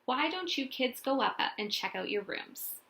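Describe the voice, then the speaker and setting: A woman reading a storybook as a brisk, practical, reassuring mother. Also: sits high, expressive, fairly bright tone.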